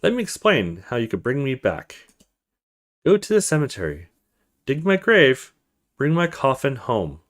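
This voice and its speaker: A man's voice: medium pitch, wildly swooping pitch, a little slow, long pauses. A man reading the part of an eerie, commanding undead young boy in a horror visual novel, speaking in ominous requests.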